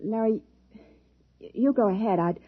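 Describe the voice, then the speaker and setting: A woman's voice: mid-range voice, moderate pace, no room sound, natural voice. An actress playing an authoritative female commander of a space expedition in a 1950s radio drama. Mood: hesitant, uneasy. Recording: muffled vintage 1950s radio recording.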